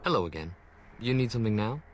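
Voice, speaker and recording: A meek messenger servant in a fantasy video game. He is nervous and apologetic, hesitating as he speaks. The voice is on the low side. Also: very animated, quick pace, a little hiss or hum. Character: nervous, timid male servant running army errands.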